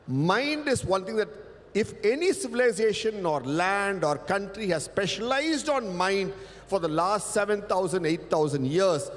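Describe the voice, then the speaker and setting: Emphatic man, native Tamil speaker, in a hall. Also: high voice. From India. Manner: emphatic, rhetorical questions, stretches key words. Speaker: panel speaker. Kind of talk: panel talk. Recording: hall reverb.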